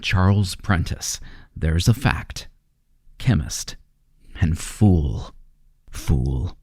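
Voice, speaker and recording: Man acting a slow, brooding, obsessive radio-drama chemist. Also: low-pitched, animated, darkish tone, husky, no room sound, studio quality, exaggerated voice. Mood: haunted, brooding. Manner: deliberate, repetitive, brooding monologue. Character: brooding, obsessive, domineering chemist haunted by guilt. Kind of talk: old-time radio horror drama acting.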